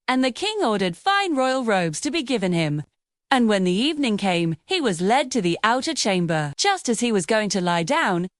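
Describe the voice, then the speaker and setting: A woman's voice: mid-range voice, no room sound, clean studio sound. A woman reading a fairy tale as a scripted storybook narration.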